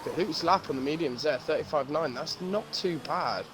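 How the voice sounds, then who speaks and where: A man's voice: on the high side, normal speed. Friendly male racing co-commentator. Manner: friendly, banters, greets viewers. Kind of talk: live race commentary, reading chat. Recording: race game engine noise behind voice.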